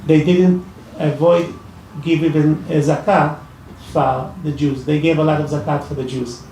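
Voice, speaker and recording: Gravelly man with an Iraqi accent, echoey hall. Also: on the high side, darkish tone, slight background noise. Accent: Iraqi Arabic. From Iraq. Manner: halting, many restarts and fillers. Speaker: speaker at a public discussion. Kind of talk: personal testimony at a talk. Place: library event room. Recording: echoey hall, mic from far off.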